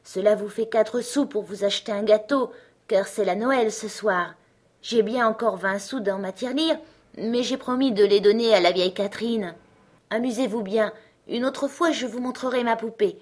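Storybook little girl, acted by a woman, raised pitch, sweet, eager, generous, earnest. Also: moderate pace, close to an everyday voice. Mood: kind, earnest.

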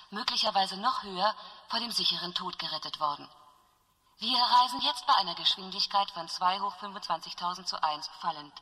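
An actress playing a starship's calm, formal announcer in a science-fiction radio play. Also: female voice, mid-range voice, close to an everyday voice. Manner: formal, soothing, impersonal announcements. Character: calm, official female starship announcer counting down odds. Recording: long reverb like ship intercom, music behind.

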